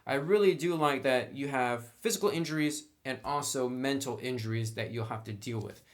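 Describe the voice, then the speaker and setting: Male game reviewer, medium pitch. Conversational, instructional read, talking directly to the viewer. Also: moderate pace. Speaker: tabletop game reviewer and presenter. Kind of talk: casual explanatory review.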